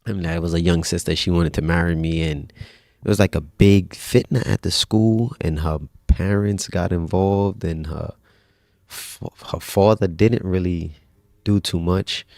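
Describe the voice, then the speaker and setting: A man in his thirties telling his own life story in a relaxed, conversational way as a podcast guest. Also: deep voice, a little slow, creaky, dry recording, studio quality. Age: 30s. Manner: relaxed, conversational, frequent restarts and religious phrases. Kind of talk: personal storytelling in casual conversation.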